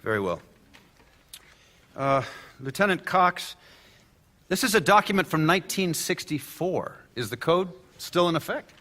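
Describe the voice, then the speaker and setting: A man's voice: on the high side, expressive, a little slow, long pauses, bright, forceful tone. An actor playing a male newspaper lawyer who argues formally, with small hesitations, in an audio drama. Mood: persuasive. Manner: formal courtroom argument with hesitations.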